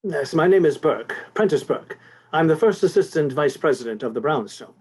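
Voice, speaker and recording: An actor playing a fussy, anxious store executive in a 1950s-style radio play, speaking formally with polite hesitations. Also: male voice, a little high, expressive, moderate pace, darkish tone, breathy edge. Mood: formal, uneasy.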